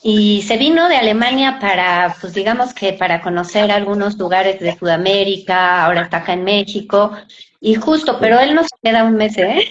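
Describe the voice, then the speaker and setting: A woman's voice: mid-range voice, moderate pace. Mexican woman hosting, slightly muffled audio. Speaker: interview host. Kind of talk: conversational interview.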